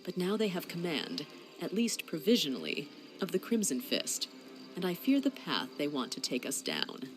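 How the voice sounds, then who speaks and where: A woman's voice: fairly low pitch, huge pitch swings, normal speed, soft background music, rough recording. A woman playing a grave, pragmatic senior inquisitor in a fantasy game cutscene. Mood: resigned, serious. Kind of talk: scripted video game cutscene acting.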